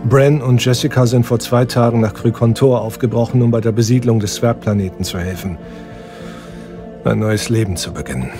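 Earnest space-hero lead, voiced by a man, steady delivery over a music bed. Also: mid-range voice, close to an everyday voice. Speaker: spaceship captain. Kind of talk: audio drama acting.

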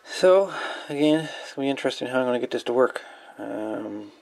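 A man's voice: mid-range voice, animated, fairly quick, vocal fry, background noise. A man hosting a casual hands-on electronics demo, rambling and thinking aloud as he goes. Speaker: hobbyist video host.

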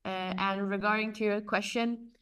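Earnest woman guest, thirties, smooth tone, video call from home, slight distance. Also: medium pitch, moderate pace. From Afghanistan. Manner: earnest, animated storytelling. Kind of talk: conversational interview answers. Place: home room, indoors.

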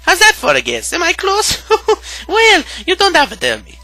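A man's voice: very high voice, big pitch swings, normal speed, vocal fry, bright, forceful tone, dry recording, faint music behind, full character voice. A man voicing a friendly, very girly character in a video game.

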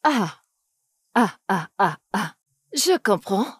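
A woman's voice: medium pitch, sing-song, slow, slightly rough, no echo, clean studio sound, heavily acted. A woman dubbing a sarcastic, scolding wealthy mother in a film. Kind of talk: French film dub.

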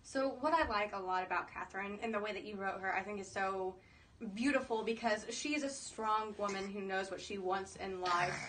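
A woman's voice: medium pitch, normal speed, a bit bright, a little hiss or hum. A woman in her twenties being interviewed as an actress, chatting casually in a small room with a distant microphone. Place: small indoor room, seated in armchairs.

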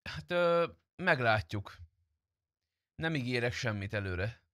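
A man's voice: medium pitch, lively intonation, bright, forceful tone, no room sound, clean studio sound. A man chatting casually on a game stream, informal and teasing, with some swearing. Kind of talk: casual live-stream chat during gameplay.

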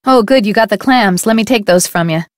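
A woman's voice: mid-range voice, fairly quick, dry recording, lightly acted. Gossipy small-town cafe owner, folksy and opinionated, a woman voicing her for a game; dry studio take. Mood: friendly. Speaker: game character. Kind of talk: video game voice acting.

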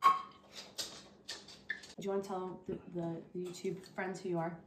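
A woman's voice: fairly low pitch, flat delivery, normal speed, noisy background. A young adult woman chatting casually in a vlog, giving updates as a producer indoors at home. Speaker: audio drama writer and producer. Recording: background music, roomy echo.

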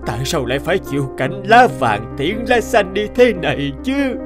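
An elderly male official for an animated film. He is a devoted, upright father, full of grief and pleading. His pitch runs high and moves very widely, with faint music behind. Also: normal speed. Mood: anguished. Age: older. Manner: emotional, imploring. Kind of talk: animated cartoon dialogue.

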